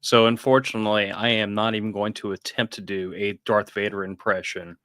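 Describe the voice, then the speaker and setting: A low, gravelly man hosts a podcast in a relaxed, drawling way. His voice sits deep and rough, and the recording is dry and close with no room sound. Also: a little fast, dry recording. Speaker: podcast host. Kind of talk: casual podcast chat.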